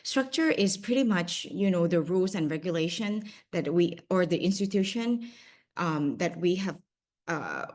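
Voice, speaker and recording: A woman's voice: on the low side, expressive, moderate pace, darkish tone. A woman from Thailand with a Thai accent leading an online workshop, speaking hesitantly with many fillers and restarts. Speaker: workshop facilitator.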